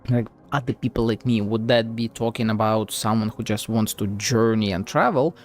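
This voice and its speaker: A man's voice: fairly low pitch, normal speed, soft background music. A man giving thinking-aloud commentary as a game streamer, hesitant and musing.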